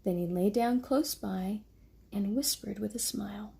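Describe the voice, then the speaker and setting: Mellow, husky mother reading at bedtime. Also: medium pitch, unhurried. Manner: gentle, motherly baby talk. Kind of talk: read-aloud children's story with casual asides to a small child.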